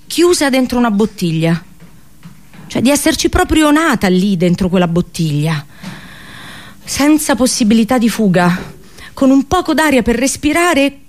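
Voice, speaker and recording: A woman actor delivering a theatrical radio drama monologue, dramatic and halting, with deliberate pauses and repetitions. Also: on the high side, a little mellow. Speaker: actress performing a monologue.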